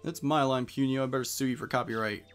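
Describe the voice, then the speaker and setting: A man's voice: medium pitch, fairly even intonation, fast talker. Wry, chatty young man playing a game. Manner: chatty, wry asides, reads game text aloud with put-on voices. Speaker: let's play host. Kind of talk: casual gameplay commentary. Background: faint game music.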